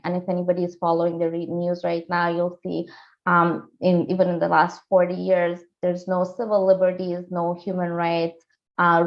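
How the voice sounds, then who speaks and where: A woman in her forties, a lawyer from Iran, telling her story in an earnest, steady interview answer. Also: fairly low pitch, gravelly. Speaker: lawyer and candidate. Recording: dull lo-fi audio.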